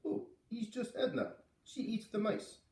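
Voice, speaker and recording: Male reader as frail, bossy old farm woman; broken grammar, put-on accent, lifted pitch. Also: sing-song, measured pace, darkish tone, reverberant, recorded from a distance, full character voice. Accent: foreign, put on. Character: fussy older foreign-accented farm woman, small and frail.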